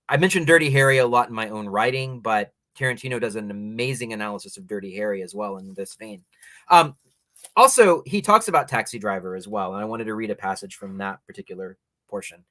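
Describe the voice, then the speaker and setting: A man hosting a culture show, giving opinionated, explanatory film commentary in a measured, bookish way and reading passages aloud. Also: fairly low pitch, fairly bright tone, no echo.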